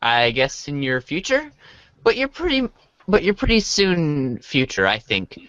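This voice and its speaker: A woman's voice: sits low, big pitch swings, measured pace, fairly bright tone, no room sound, lo-fi sound, heavily acted. A woman voicing a laid-back, slightly awkward teenage boy in an amateur reader's theater, casual and hesitant.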